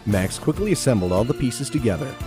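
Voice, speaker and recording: Animated male story narrator. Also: medium pitch, a little fast, music in the background.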